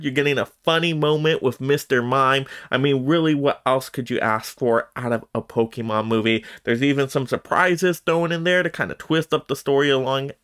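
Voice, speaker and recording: A man's voice: mid-range voice, fairly bright tone. A man in his thirties hosting an enthusiastic, emphatic countdown movie review to camera in a room at home. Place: small room at home lined with shelves of collectibles, indoors.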